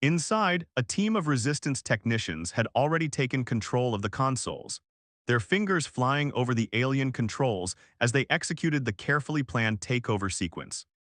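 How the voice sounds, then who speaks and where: A male narrator reads dramatic science fiction prose. His pitch moves in lively swings, and the recording is very dry, with no room sound at all. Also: normal speed, dry recording. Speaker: audiobook-style narrator. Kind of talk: dramatic scripted sci-fi story narration.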